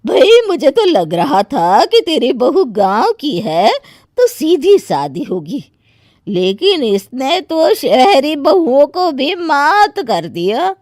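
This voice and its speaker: A woman's voice: high-pitched, sing-song, slow, pauses often, a little mellow, no echo, clean studio sound, exaggerated voice. A woman playing a gossipy middle-aged friend in a moral story, mock-shocked and insinuating. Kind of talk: dramatised Hindi moral story.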